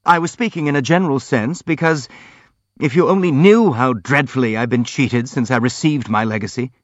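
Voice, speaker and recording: A man's voice: medium pitch, dry recording, highs cut off, voice pushed up, natural voice. A man voicing an earnest, polite prince in an audiobook, frank and anxious to placate. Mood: apologetic. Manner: earnest, hurried, polite pleading. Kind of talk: audiobook character acting.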